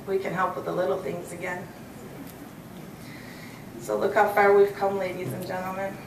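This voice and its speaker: A woman's voice: medium pitch, little pitch movement, normal speed, creaky. An American woman giving a prepared thank-you speech as a student speaker at a graduation. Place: hotel hall, at a podium on stage. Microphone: podium mic, captured by far audience camera. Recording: echoey, distant, noisy hall recording.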